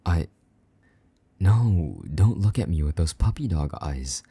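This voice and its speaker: A man playing a deep gravelly vampire knight. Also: lively intonation, takes it slowly, heavily acted. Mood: tender. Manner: low murmuring with soft whispers, teasing and gruff. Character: possessive jealous male vampire knight. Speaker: voice actor in audio roleplay. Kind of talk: intimate audio roleplay.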